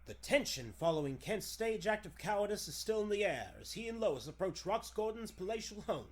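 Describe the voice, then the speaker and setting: A man's voice: high voice, expressive, fairly quick. Man acting a theatrical old-time serial announcer. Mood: dramatic. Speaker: narrator. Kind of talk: scripted narration, 1940s radio acting.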